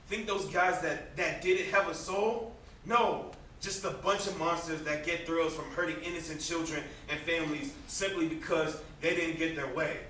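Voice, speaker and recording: In a very echoey, noisy stage recording, a man plays a bitter young man racked with guilt. His voice is high and bright, and he declaims vehemently in amateur stage-drama style. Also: far from the mic. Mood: bitter despair. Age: young adult. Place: indoor stage, recorded from a distance.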